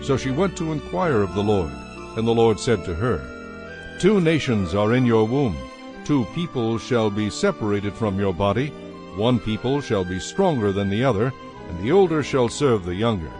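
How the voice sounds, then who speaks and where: Male narrator, lower voice, solemn, measured, scripted scripture reading, clear music bed. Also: animated.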